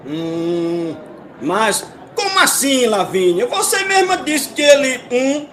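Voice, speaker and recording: A man's voice: very high voice, very animated, slow, background noise, full character voice. An actor playing a stern, fatalistic father king in a comedic mock-epic audio drama, declaiming with authority and slipping into colloquial speech. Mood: puzzled.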